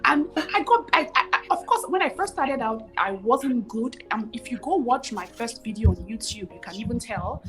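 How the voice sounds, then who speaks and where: A woman in her thirties with a Nigerian accent, telling her story as a guest over a video call, expressive and repeating phrases for emphasis. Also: on the high side, normal speed, hardly any pauses, soft background music, rough recording. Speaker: guest, cooking show host. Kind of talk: animated storytelling interview. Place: home room with curtains, video call.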